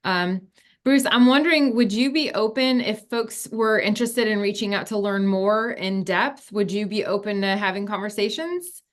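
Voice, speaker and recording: A woman's voice: mid-range voice, normal speed. A woman moderating a webinar over a video call, polite and appreciative as she hands over to speakers.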